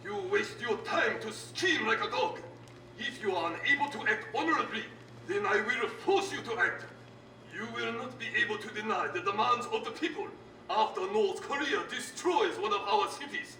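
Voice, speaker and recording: An actor playing a proud, menacing Japanese military leader in a video game, speaking in a formal, oratorical way. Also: male voice, high-pitched, expressive, moderate pace, recorded from a distance. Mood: enraged. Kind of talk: dramatic game cutscene acting. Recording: roomy echo, loud music behind.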